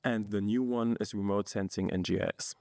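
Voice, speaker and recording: A young man, a master's student guest answering in a relaxed, hesitant way with many fillers. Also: lowish voice, a little fast, gravelly, no echo, studio quality. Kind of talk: podcast interview answers.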